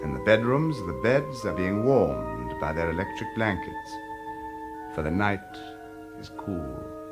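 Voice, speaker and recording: A man narrating an audio drama in a deliberate, expressive, dramatic storytelling style. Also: low voice. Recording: loud music under the voice. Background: music.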